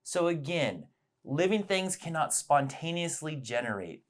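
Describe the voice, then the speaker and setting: A man's voice: fairly high pitch, very animated, a little slow. A man presenting a science lesson as storytelling, explaining things simply and with emphasis.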